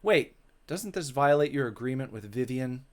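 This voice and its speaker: A stern woman interviewer in a visual novel, read by a man in a middling pitch. She is measured and skeptical, probing like someone who expects better. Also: expressive, close to an everyday voice. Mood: skeptical, reproving.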